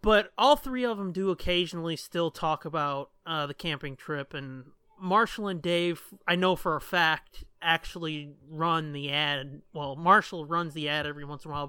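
Guy doing a casual podcast, swears a lot, clear bright voice, slangy. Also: a little high, no room sound. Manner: profane, slangy. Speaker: podcast host.

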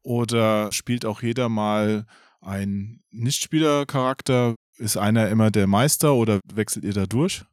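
A man's voice: lowish voice, moderate pace, darkish tone. A man asking questions as a casual podcast interviewer.

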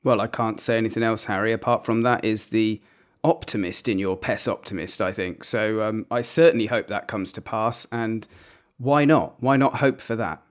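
A man's voice: on the low side, fairly even intonation, normal speed. A man hosting an interview in a conversational style. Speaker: interview host.

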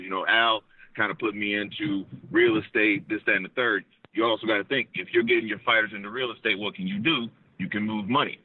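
A man calling in by phone while out on a walk outdoors, talking quickly in a casual, analytical way. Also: on the low side, no echo. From United States. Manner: fast, conversational, analytical. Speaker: caller. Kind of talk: phone call-in. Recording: tinny dry phone line.

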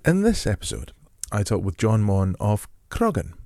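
Male podcast host, low voice, dry close sound, gentle and relaxed in his questions. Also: normal speed, no room sound. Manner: gentle, descriptive intro, then relaxed questions. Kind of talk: podcast interview. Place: rural home.

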